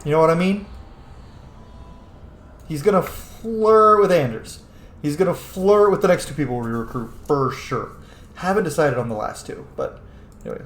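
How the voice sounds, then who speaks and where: A man giving casual, playful let's-play commentary in an animated voice. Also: on the high side. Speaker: gaming streamer. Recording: roomy echo, faint noise.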